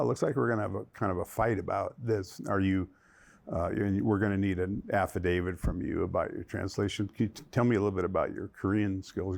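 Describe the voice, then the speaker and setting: Creaky low-voiced man telling a legal story. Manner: anecdotal, quotes himself and others. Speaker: lawyer. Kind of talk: documentary interview storytelling.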